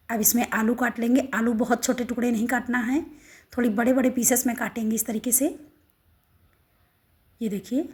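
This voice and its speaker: Hoarse woman demonstrating home cooking. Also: on the high side, warm tone. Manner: homely, step-by-step instructions. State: hoarse, sore throat. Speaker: cooking channel host.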